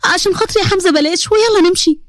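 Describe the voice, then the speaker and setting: Audio-story heroine: sensitive young Egyptian female, high voice, rapid speech acted by a woman narrator. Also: a little mellow, no echo, studio quality, lightly acted. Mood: frightened pleading. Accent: Egyptian Arabic.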